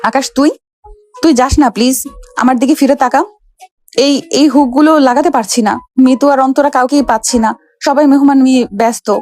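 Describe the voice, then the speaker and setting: A woman's voice: high voice, steady tone, normal speed, darkish tone, faint music behind, close to an everyday voice. A woman playing a proud young rich bride in a romantic audio story, bossy and flustered. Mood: pleading.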